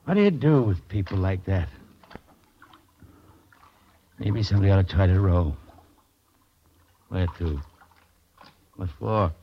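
A man's voice: deep voice, lively intonation, normal speed. A man acting in an old-time radio drama, playing a wealthy businessman adrift at sea, weary, regretful and resigned. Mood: despairing. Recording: muffled, noisy old radio recording.

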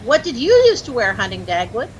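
An actress with an American accent playing a chirpy, easily dazzled young housewife who gushes and lightly scolds in a 1940s radio comedy. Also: female voice, a little high, very animated, a little fast. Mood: curious, teasing. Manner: gushing, breathlessly admiring, lightly scolding. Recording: noisy old-time radio recording.

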